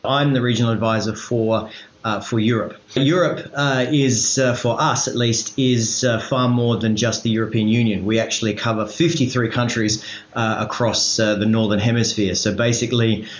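An Australian man giving formal interview answers as an expert advisor, in a halting, measured way with careful official wording. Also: medium pitch, fairly even intonation. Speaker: road safety regional advisor, interview guest. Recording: roomy echo, dull lo-fi sound.

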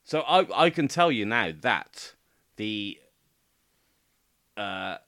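A British man with a fairly low voice talks as a podcast co-host. His delivery is casual and conversational, like explaining things to a friend. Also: expressive, takes it slowly, fairly bright tone. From United Kingdom.

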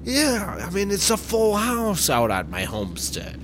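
A man plays a mild, straitlaced family man in an audio comedy. He talks slowly and plainly in a slightly high, lively voice. Cabin noise hums behind him. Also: exaggerated voice. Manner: slow, mild and deadpan. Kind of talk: comedy audio drama acting.

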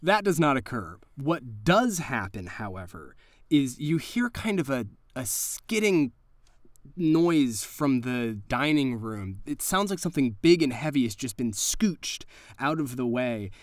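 A man's voice: mid-range voice, very animated, a little slow, no room sound. A man working as game master, narrating an improvised horror tabletop game in a conversational, suspenseful way.

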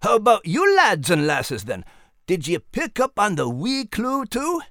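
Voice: medium pitch, wildly swooping pitch, measured pace, fairly bright tone, dry recording, clean studio sound. A performer voicing a cheery Scottish character who hosts a story podcast. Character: cheery Scottish host addressing lads and lasses. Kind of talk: playful story podcast hosting.

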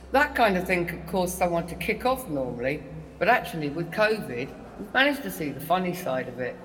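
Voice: mid-range voice, slight background noise, lightly acted. Actor as a chatty, wry prison worker with a long hall reverb. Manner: chatty, wry, animated in anecdotes. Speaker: prison staff. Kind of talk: audio drama monologue.

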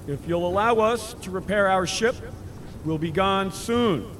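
An actor playing an earnest, commanding leader of a scientific expedition in a sci-fi audio drama. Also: male voice, high-pitched, unhurried, a bit bright, slight background noise. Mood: firm, diplomatic. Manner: earnest, authoritative.

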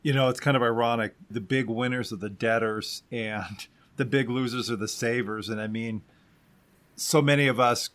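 A man's voice: mid-range voice, fairly even intonation, moderate pace, a bit bright. A man hosting an interview podcast, introducing and talking with his guest in a conversational style.

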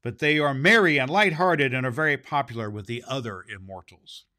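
Expressive man reading a children's storybook aloud. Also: mid-range voice, brisk. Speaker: storybook reader and podcast host. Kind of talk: storybook narration read aloud.

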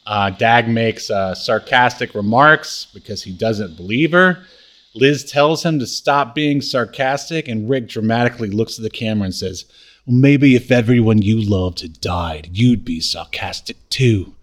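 Male podcast co-host, average pitch, halting casual delivery while retelling scenes from a comedy show. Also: fairly bright tone. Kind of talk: casual recap chat about a TV comedy.

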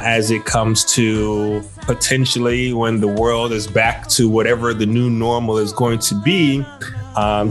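A man from Texas hosting a podcast, chatting casually and loosely with plenty of "like" and "you know". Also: fairly low pitch. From Texas, United States. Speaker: podcast host. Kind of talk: casual conversational interview.

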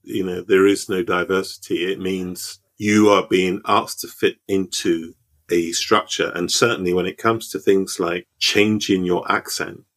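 A man's voice: low-pitched, flat delivery, a little slow, fairly bright tone. A man hosting an interview, affirming his guest often and asking reflective follow-up questions. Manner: encouraging, affirming with frequent backchannels. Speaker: podcast host. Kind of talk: interview conversation.

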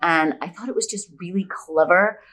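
Low-pitched woman, opinionated podcast guest. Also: normal speed, fairly bright tone. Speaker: podcast guest, women's fiction author. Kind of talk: conversational interview, explaining opinions.

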